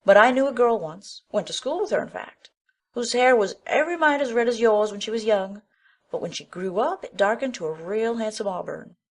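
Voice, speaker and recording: A woman acts out an older village matron in a storybook drama, her fairly high voice leaping animatedly around. She is outspoken, hearty and talkative, with folksy country phrasing and a bossy but kindly temper. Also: animated, fairly quick. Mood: hearty, forgiving. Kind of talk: full-cast audiobook acting.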